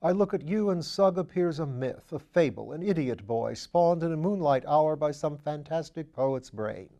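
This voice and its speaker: A man's voice: fairly high pitch, expressive, mellow, muffled recording. A man reading aloud, voicing a witty, flippant aristocratic amateur detective with a theatrical manner. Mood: playful. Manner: playful, theatrical, quick-witted.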